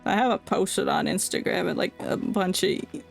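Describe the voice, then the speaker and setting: A woman's voice: medium pitch, lively intonation, moderate pace, vocal fry, soft background music. A woman game streamer giving casual, jokey commentary, playful and rambling as she heckles the game.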